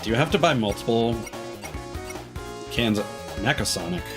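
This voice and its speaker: A man giving casual live game commentary, rambling and thinking aloud with halting, mid-sentence pauses. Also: mid-range voice. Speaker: game streamer. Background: video game music.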